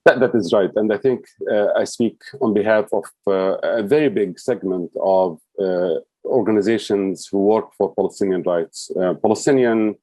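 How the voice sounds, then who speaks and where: Brief: man guest, low mellow voice, dry close sound, thoughtful, halting delivery. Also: no echo, low-quality recording. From United States. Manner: thoughtful, hesitant, many fillers and restarts. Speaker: guest, advocate. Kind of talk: interview answers.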